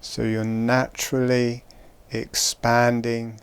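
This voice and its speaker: A man guiding a breathing meditation, giving calm, gentle instructions with long pauses. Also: medium pitch, smooth. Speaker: meditation guide.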